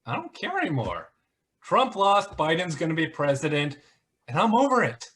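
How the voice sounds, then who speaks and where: A man's voice: on the high side, wildly swooping pitch, normal speed, a bit bright, husky, exaggerated voice. A man playing a smug, flippant internet conspiracy grifter who mocks theatrically in a one-act play. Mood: smug, dismissive. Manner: sarcastic, mocking, theatrical.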